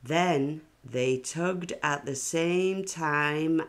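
A woman reading a children's picture book aloud in a deliberate, expressive storytelling style. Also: sits low.

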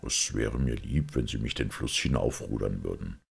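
A man reading the part of a shrewd, calm priest detective in an audiobook, in a measured, deliberate way. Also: rumbling low, creaky, breathy edge, pitched below the performer's own voice, heavily acted. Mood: quietly urgent.

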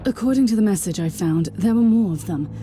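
A woman's voice: mid-range voice, lively intonation, a little fast, mellow, slight background noise, lightly acted. An actress playing a zealous, stern female warrior inquisitor in a video game, speaking in a solemn, commanding way. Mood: grave, focused. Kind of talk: scripted video game acting. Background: game music.

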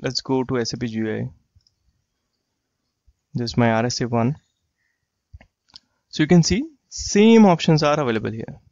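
A man walking through a software tool in a screen-share tutorial, explaining plainly and repeating himself. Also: medium pitch, normal speed, pauses often, no room sound. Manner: plain explanatory, repeats phrases like "you get the idea". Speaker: software trainer. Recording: dry, slightly dull lo-fi voice track.

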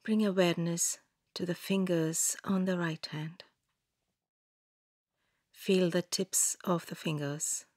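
A woman guiding a yoga nidra meditation in a calm, soothing manner, giving gentle instructions in a soft, breathy voice with long pauses. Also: lowish voice, no room sound, clean studio sound. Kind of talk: scripted guided meditation narration.

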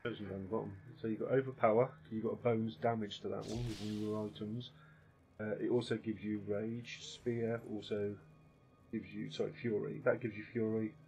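Deep-voiced man explaining a game. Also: little pitch movement, brisk, vocal fry, darkish tone, a little hiss or hum, rough recording. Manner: explains game abilities patiently, step by step. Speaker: gaming channel host. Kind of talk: casual gameplay commentary.

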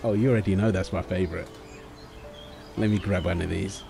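A man's voice: deep voice, fairly even intonation, fairly quick, gravelly, over music. A man playing a doting young husband and new father, tender and playful, in an ASMR boyfriend roleplay. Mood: appreciative, affectionate.

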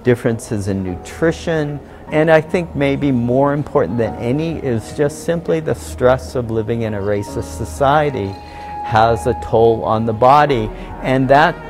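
An older man with a mid-range voice explains his views in an earnest, explanatory way. Music plays clearly beneath the interview. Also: medium pitch, measured pace. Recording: music bed underneath.

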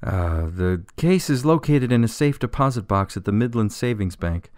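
An actor playing a desperate, disgraced politician in prison in a radio drama, pleading and flustered. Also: male voice, fairly low pitch, expressive, moderate pace, a little mellow, no echo, studio quality, close to an everyday voice. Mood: reluctant, confessing.

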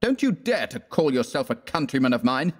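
A man's voice: fairly low pitch, moderate pace, darkish tone, natural voice. A man voicing an angry young American man in a storybook, blunt and contemptuous, sneering and growling threats. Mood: scornful anger. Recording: long reverberant room echo.

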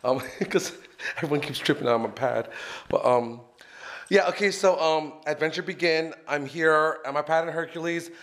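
A man vlogging from a phone held at arm's length while waiting for a bus and riding a train, rambling and chatty with lots of ums, slightly hoarse from losing his voice. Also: on the high side, moderate pace, a bit bright. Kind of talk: casual self-filmed travel vlog. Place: outdoors at a bus stop, then on a bus and a train. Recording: faint vehicle and street noise behind.

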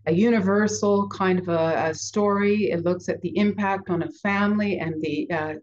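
An older woman, voice lowered and thickened by a cold, talks over a video call from her study. She searches for words, restarting often with ums. The call audio is dull and lo-fi, with a faint hiss underneath. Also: a little mellow. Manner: hesitant, many ums and restarts. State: has a cold, deeper voice. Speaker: playwright guest. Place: home study with bookshelves.

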